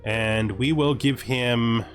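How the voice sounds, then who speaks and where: A man hosts a let's play in a casual commentary style. His voice sits at a middling pitch, and he talks as if thinking aloud to himself. Game music plays behind his voice. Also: takes it slowly.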